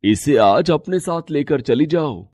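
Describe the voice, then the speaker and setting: A man plays a poor working father from a moral tale, tired and caring. He advises earnestly in a slow delivery with big swings of pitch, on the higher side for a man. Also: animated, warm tone, no echo. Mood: concerned. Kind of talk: moral story character acting.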